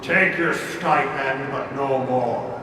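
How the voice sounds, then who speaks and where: An actor playing a gruff undead pirate captain with commanding, scornful, salty old sea talk in a horror radio play. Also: male voice, medium pitch, takes it slowly, lightly acted. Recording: echoey cavernous reverb, distant, noisy ambience.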